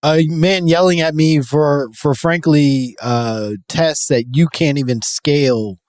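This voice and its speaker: A man's voice: fairly high pitch, slow pace, darkish tone, no room sound, studio quality. A man hosting a podcast in casual comedic chat, riffing with a joking, profane manner. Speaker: podcast host.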